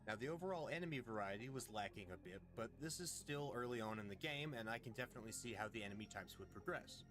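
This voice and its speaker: A man reading a scripted game review in a measured, deliberate way. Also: mid-range voice, animated. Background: music.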